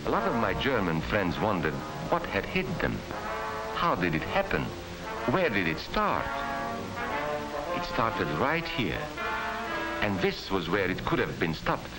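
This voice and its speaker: Solemn 1940s film narrator over loud music. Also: male voice, lowish voice, huge pitch swings, a little slow, long pauses, rough recording. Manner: solemn, emphatic storytelling.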